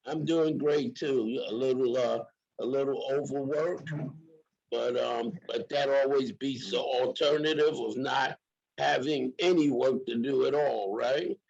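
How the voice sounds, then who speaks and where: Male program host, gravelly and rough-edged, emphatic and repetitive in casual interview talk. Slightly muffled call sound. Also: mid-range voice, a little slow, darkish tone.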